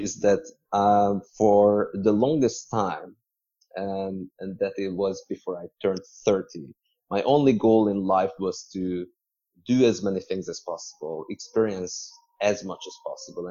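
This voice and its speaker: Male podcast guest, lowish pitch, measured conversational storyteller with hesitations, muffled lo-fi audio. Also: warm tone. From Hungary. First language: Hungarian.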